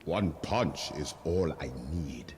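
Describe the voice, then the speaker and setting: A man playing a cocky, menacing brawler hero in a video game, delivering deliberate taunts. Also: rumbling low, lively intonation, a little mellow, a little hiss or hum, heavily acted. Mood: taunting. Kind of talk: video game character voice lines.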